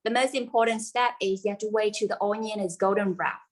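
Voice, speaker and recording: A woman's voice: mid-range voice, fairly even intonation, brisk. A woman from central Vietnam, a native Vietnamese speaker with a central Vietnamese accent, teaching a cooking class informally in simple non-native English with dropped words. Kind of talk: informal live cooking instruction.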